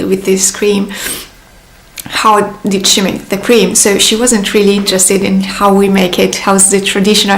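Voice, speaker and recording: A woman's voice: mid-range voice, roomy sound, a little hiss or hum, poor audio. A young woman from Italy with an Italian accent, chatting casually to camera with many fillers and restarts. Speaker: vlogger. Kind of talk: casual vlog monologue.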